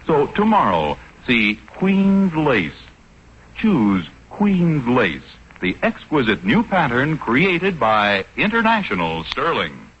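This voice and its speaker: American male announcer for vintage radio commercial: hugely animated, emphatic, polished, slightly hissy old recording. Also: mid-range voice, slow, lo-fi sound. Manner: polished, emphatic sales delivery. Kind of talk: 1940s radio advertising read.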